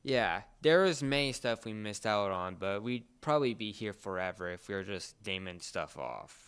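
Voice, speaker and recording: A young man co-hosting a casual podcast chat, speaking in a halting, rambling way and often repeating words. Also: lowish voice, lively intonation, a bit bright, clean tone. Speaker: podcast co-host.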